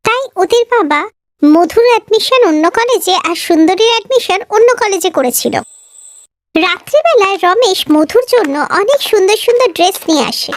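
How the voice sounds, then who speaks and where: Woman storyteller for cartoon moral tales, very high voice, dry sound. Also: expressive, moderate pace, no echo. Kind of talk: scripted fairy-tale cartoon narration.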